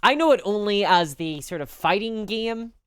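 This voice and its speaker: A woman with a Belfast accent, chatting casually and candidly as a live streamer in an animated, conversational way. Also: mid-range voice, moderate pace, clean tone, dry recording, studio quality. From Northern Ireland. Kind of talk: casual chat to a live audience.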